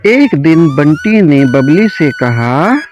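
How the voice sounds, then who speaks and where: A male storyteller reads a children's tale very slowly. His voice sits a little high for a man. Faint music plays behind the narration. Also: very animated, highs cut off. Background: soft background music.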